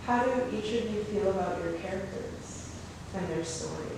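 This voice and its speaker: A woman's voice: fairly low pitch, moderate pace, creaky. A woman moderating a casual group chat. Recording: echoey room, distant mic, loud noise.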